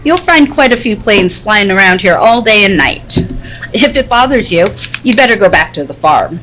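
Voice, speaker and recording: A woman's voice: medium pitch, lively intonation, normal speed, fairly bright tone, soft background music, lightly acted. A woman playing a sceptical, sarcastic newspaper reporter in a 1940s radio serial, read live at a convention. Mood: scornful. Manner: sarcastic, needling. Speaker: table-read performer. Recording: noisy convention floor ambience. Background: convention hall noise.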